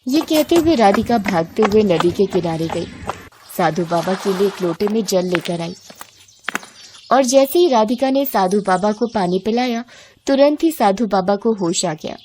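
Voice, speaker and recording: A woman's voice: mid-range voice, animated, moderate pace, noisy background. A woman storyteller reading scripted narration for a moral bedtime tale.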